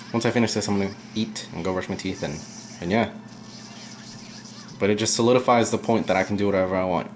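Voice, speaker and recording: A young man giving casual, rambling commentary while playing a video game, his voice low and at times monotone. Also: a little hiss or hum. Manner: rambling, chatty, drifts off topic. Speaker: let's play gamer. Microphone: camera aimed at the game screen.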